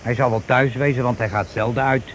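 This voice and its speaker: An actor in a radio play playing an evasive, scheming husband who lets rooms and talks in an offhand, colloquial, bossy way. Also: male voice, medium pitch, fairly even intonation, fairly quick, lightly acted. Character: evasive, scheming husband, a brusque working-class lodging keeper. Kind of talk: naturalistic radio play acting. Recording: noisy old radio play recording.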